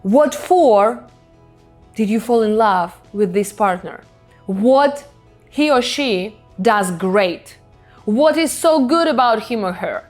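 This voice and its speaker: Woman, Ukrainian accent, higher-pitched, earnest coaching tone, soft music underneath. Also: lively intonation, slow pace. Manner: earnest, emphatic coaching in non-native English. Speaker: self-help vlogger and hypnotherapist. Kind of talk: motivational self-help talk to camera. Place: indoors, filming herself.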